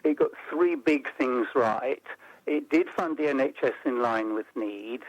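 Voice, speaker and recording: A man as an interview guest, explaining policy in a measured way and building points into lists. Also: medium pitch, no room sound, poor audio. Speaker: interview guest, report author. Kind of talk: radio interview.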